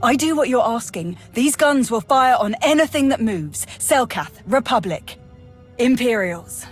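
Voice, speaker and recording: A woman's voice: a little high, expressive, unhurried, faint music behind. A woman playing a cocky, wisecracking Imperial military officer in a video game, with a breezy, plain-spoken, sardonic manner. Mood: cautioning.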